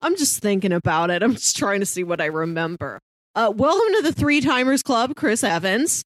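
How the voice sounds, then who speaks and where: A woman's voice: medium pitch, sing-song, normal speed, no room sound. A woman co-hosting a podcast, chatting casually and articulately about films with playful asides. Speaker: podcast co-host reviewing films. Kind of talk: casual film review chat.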